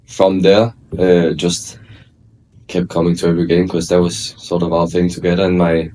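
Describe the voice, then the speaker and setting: A young Danish man in his early twenties sits in as a podcast guest. His voice sits very low, and he talks in a loose, unhurried way, filling gaps with ums and small restarts. A faint background hum runs under the talk. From Denmark. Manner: relaxed, hesitant, many fillers and restarts. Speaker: guest, college soccer player. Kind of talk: casual interview chat.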